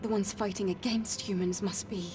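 A woman playing a composed, stoic young female soldier in a video game, speaking in a measured, restrained, serious way. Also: medium pitch, fairly even intonation, recorded from a distance, music in the background, natural voice. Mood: grave realization.

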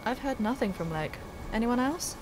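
A woman's voice: fairly high pitch, expressive, moderate pace, lightly acted, low-quality recording. A woman acting in an audio drama as an earnest, anxious young student on a polar expedition. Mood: worried.

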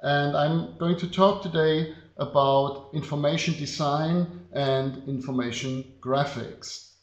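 A middle-aged man from Bavaria with a German accent, giving a slide lecture on a video call at an unhurried pace with many filler pauses. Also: fairly high pitch, fairly even intonation. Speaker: design professor. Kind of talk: webinar lecture presenting slides. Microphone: computer mic on a video call. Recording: echoey, dull webinar audio.

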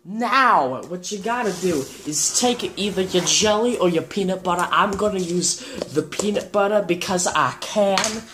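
Slow-paced teenage boy hosting a cooking vlog. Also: high voice. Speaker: host of a homemade cooking show. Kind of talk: casual vlog presenting, demonstrating steps. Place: home kitchen, indoors. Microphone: camera on the counter, about a metre away. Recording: clearly noisy homemade camera audio.

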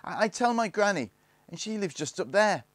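A man's voice: medium pitch, very animated, slow pace, fairly bright tone, no room sound, voice pushed up, exaggerated voice. A man voicing a young boy hero in a storybook, stoutly defiant and airy though uneasy.

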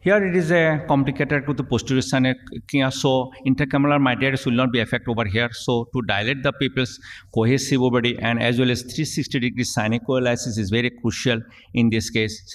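Halting man lecturing in a reverberant hall. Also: mid-range voice. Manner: halting, frequent fillers and restarts, reading at a lectern. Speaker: eye surgeon giving a conference talk. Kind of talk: technical lecture narrating surgical video. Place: large conference hall, at a podium. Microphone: podium gooseneck microphone.

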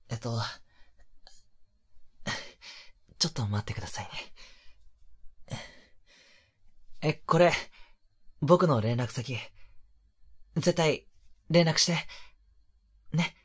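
Man acting bashful young man, soft breathy voice. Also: a little high. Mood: concerned, gentle. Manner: hesitant, bashful, apologetic, gentle. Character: polite, flustered young man, secretly an online idol. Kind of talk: romantic situation voice drama.

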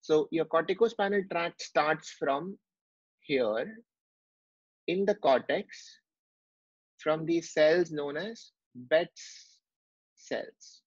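A man's voice: fairly high pitch, expressive, extremely slow, pauses often, husky, no room sound. Man teaching medicine. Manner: explanatory, with frequent fillers and restarts. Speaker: medical lecturer. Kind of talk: whiteboard teaching lecture. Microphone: online class recording. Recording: dull lo-fi dry sound.

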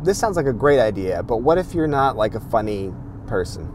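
Lively, joking man driving a car. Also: medium pitch, brisk, slight background noise. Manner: joking, playful questions. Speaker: host. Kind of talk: comic interview. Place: inside a moving car, driving.

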